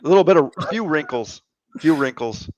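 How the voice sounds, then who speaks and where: A man's voice: fairly high pitch, animated, brisk, no room sound. A man in his fifties hosting a live online show, chatty and joking with viewers. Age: 50s. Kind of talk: casual live-stream chat. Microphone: computer mic on a live video stream. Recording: dry, slightly dull stream audio.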